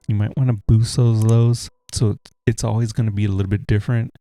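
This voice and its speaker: A man with a deep voice giving a relaxed, rambling tutorial from home, talking into a desk mic without a pop filter. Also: creaky, dry recording. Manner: relaxed, rambling explanations with fillers. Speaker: tech tutorial host. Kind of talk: casual screen-recorded tutorial. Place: home room with a heater running. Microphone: USB desk mic without a pop filter.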